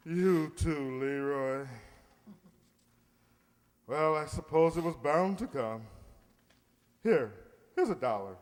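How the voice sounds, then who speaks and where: A man acts a blustery middle-aged uncle from a radio comedy, pompous yet soft-hearted. His voice sits fairly high and swoops widely as he hems and haws. It all rings in a reverberant hall. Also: expressive, takes it slowly, pauses often. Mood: indulgent, curious. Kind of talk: old-time radio comedy acting.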